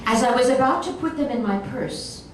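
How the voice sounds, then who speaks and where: A woman reading a comic short story aloud in an animated, expressive storytelling manner. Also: high voice, fairly quick. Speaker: reader. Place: echoey room, recorded from a distance. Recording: very echoey room, distant mic, noisy.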